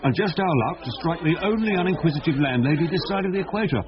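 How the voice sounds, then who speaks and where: An actor playing a wry, pragmatic police investigator in a radio drama. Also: male voice, on the high side, animated, fast, lo-fi sound, a crowd in the background, lightly acted. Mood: wry, matter-of-fact.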